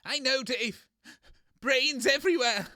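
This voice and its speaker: A man playing a flustered, cowardly, bungling radio show host in a comedy audio sketch, stammering and excitable. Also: extremely high pitch, unhurried, dry recording, studio quality, full character voice. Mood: dismayed.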